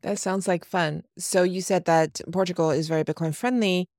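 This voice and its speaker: A woman's voice: lowish voice, steady tone, normal speed, a little mellow, no echo, studio quality. A woman from the United States hosting a podcast interview in an upbeat way, asking short questions. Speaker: podcast host.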